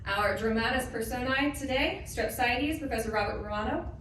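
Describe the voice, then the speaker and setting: A woman's voice: on the high side, moderate pace. A woman presenting a play, reading out scripted narration to introduce it. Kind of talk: scripted narration to a live audience. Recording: very echoey room, distant mic, faint noise.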